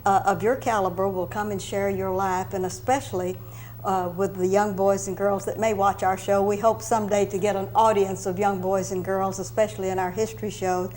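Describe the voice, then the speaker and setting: A woman's voice: mid-range voice, level tone, brisk, a little mellow. Woman hosting an interview, noisy recording with hum. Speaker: host of a local interview show. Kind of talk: formal, courteous interview hosting.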